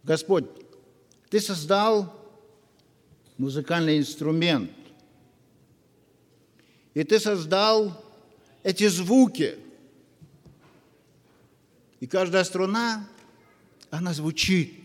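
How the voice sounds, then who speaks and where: A man preaching a sermon to his congregation, earnest and full of pauses. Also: high-pitched. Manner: slow, earnest, with long pauses. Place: church hall. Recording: long reverb of a church hall.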